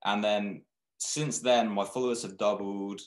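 Young brand founder, lowish voice, laid-back and rambling, webcam in a roomy-sounding room. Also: male voice, a little slow. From Thailand, raised in England. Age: young adult. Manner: relaxed, rambling, frequent restarts. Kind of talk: casual video-call interview. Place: small room indoors. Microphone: webcam video call. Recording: echoey room.

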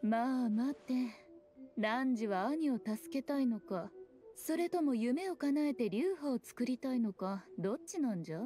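An elegant, teasing anime game fox priestess, speaks in a high voice. Her manner is lordly, archaic and aloof, with soft music behind her. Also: lively intonation, a little slow. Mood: probing. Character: elegant, teasing, haughty fox shrine maiden of great age. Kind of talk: Japanese anime game voice acting.

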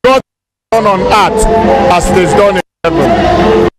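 A man giving a statement in an interview, recorded amid loud music and crowd. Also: sits high, lively intonation, a little slow.

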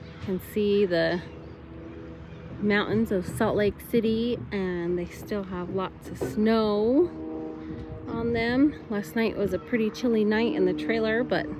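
A woman's voice: mid-range voice, slow pace, background noise. A middle-aged woman talking casually to viewers in a vlog, plain-spoken and informal, recorded on a handheld camera. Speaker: horse trainer and barrel racer hosting a ranch vlog. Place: changing vlog spots: fields, parking lot, vehicle, tack room. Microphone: handheld camera or phone at arm's length.

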